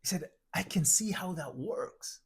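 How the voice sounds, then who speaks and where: Husky Tanzanian man teaching with lively pitch. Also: a little slow. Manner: reflective, repeats and restarts phrases while building a point. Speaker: identity transformation coach. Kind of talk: motivational teaching monologue.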